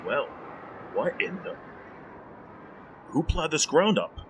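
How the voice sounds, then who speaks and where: A man's voice: on the high side, big pitch swings, slow pace, a little hiss or hum. A man playing an irritable, scolding middle-aged man obsessed with his plants, in 1940s radio drama style. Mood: blustering, exasperated. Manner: scolding, impatient, sputtering.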